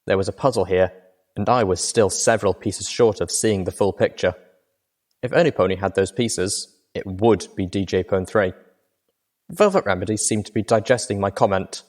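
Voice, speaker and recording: A man reading a fan fiction novel aloud as a first-person narrator, steady and even. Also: on the low side, moderate pace. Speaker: audiobook reader.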